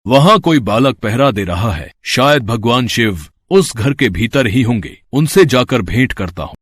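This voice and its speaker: A proud warrior sage from a devotional storybook tale, acted by a man. He speaks formal, declamatory lines at a middle pitch. The sound is close and dry. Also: lively intonation, measured pace, dry recording. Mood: suspicious, haughty. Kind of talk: acted dialogue in a Hindi mythological story.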